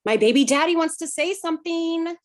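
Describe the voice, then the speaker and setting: A woman's voice: extremely high pitch, fairly quick. A woman playing a polite, guarded pregnant hostess in a short film table read over video call. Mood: polite, bright.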